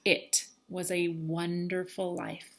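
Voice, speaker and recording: A woman's voice: on the low side, monotone, takes it slowly. A woman teacher reading a children's storybook aloud, expressively and with dramatic emphasis.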